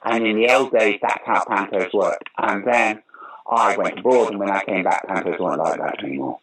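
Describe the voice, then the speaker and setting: An older man, a guest actor and comedian, telling stories in an interview with sudden loud bursts of enthusiasm. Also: fairly low pitch, moderate pace, a little hiss or hum. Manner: animated anecdotal storyteller with sudden loud bursts. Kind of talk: conversational interview storytelling.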